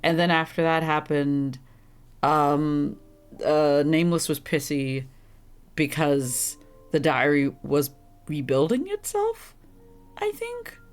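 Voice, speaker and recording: Low, rough-voiced woman rambling casually. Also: big pitch swings, takes it slowly, long pauses, soft background music. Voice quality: deep, rough voice. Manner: rambling, self-deprecating, laughs often. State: congested, slightly hoarse. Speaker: streamer playing a visual novel. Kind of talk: casual gameplay commentary.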